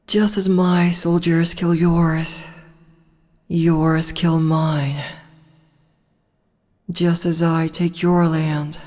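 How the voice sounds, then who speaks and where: A woman's voice: lowish voice, level tone, darkish tone, long reverb tail. A woman voicing a haughty, commanding demon empress who teases in a condescending way, in an audio roleplay. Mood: teasing. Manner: condescending, slow and teasing. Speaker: voice actress.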